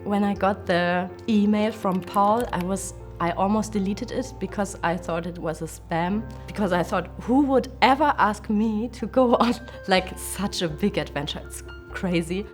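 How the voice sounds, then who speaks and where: Woman, mid pitch, candid heartfelt talk, bit of music behind. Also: unhurried, few pauses. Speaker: climber with a rare disease. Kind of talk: documentary interview.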